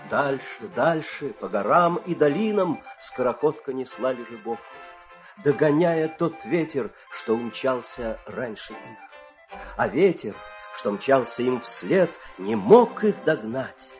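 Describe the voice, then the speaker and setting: A man narrating a children's fairy-tale radio play with dramatic, expressive storytelling delivery. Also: medium pitch, slow pace, faint music behind.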